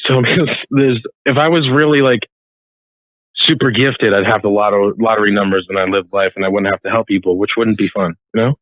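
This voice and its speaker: A man who works as a psychic, talking earnestly and reflectively in a casual podcast chat, laughing softly now and then. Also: lowish voice, fairly even intonation, brisk, no echo. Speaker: psychic guest. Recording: dry phone-like call line.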